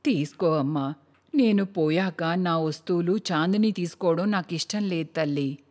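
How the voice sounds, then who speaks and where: A woman's voice: on the low side, normal speed, a little mellow, lightly acted. Woman acting grumbling elderly mother-in-law, heavy reverb. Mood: doting. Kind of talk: cartoon moral story voice acting.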